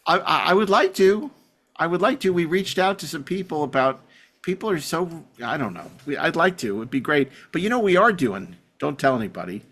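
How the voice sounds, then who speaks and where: Guy hosting a podcast, pitch all over the place, stop-start and repeats himself. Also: very animated, a bit bright. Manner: halting, repeats phrases. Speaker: podcast host. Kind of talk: casual chat.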